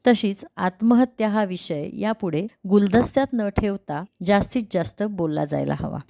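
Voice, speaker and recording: A woman's voice: mid-range voice, dark tone, no room sound, studio quality. A woman reading a prepared public appeal as an organisation's spokesperson.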